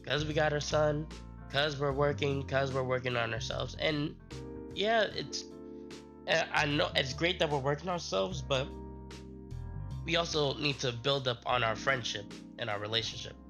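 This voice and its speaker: A man's voice: a little high, gravelly, over music. Sick man rambling on a stream. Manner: rambling, halting with restarts. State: sick, voice strained. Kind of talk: casual live-stream chat.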